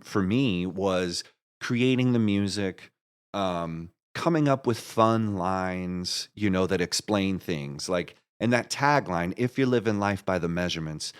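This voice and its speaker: Male interview guest, lower-pitched voice, casual and enthusiastic, explains his craft like a keen storyteller. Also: smooth. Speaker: interview guest, audio drama creator.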